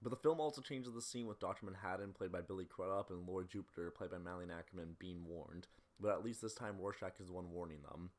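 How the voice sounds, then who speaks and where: A man's voice: low voice, fast, few pauses. A man giving scripted film commentary as a video essayist, in a critical, opinionated manner.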